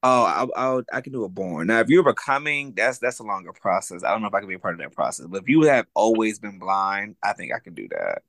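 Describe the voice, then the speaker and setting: A man's voice: lowish voice, expressive, fast, hardly any pauses, fairly bright tone, dry recording. A man co-hosting a casual podcast, laid-back and joking. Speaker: podcast co-host. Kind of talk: casual group chat.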